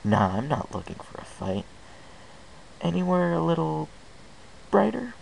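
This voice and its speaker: Guy as a self-doubting young colt in a story, casual and a bit gravelly, hissy home recording. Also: fairly high pitch, sing-song, a little slow, darkish tone, pitched above the performer's own voice, heavily acted, poor audio. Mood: hesitant. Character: restless, self-doubting young male pony.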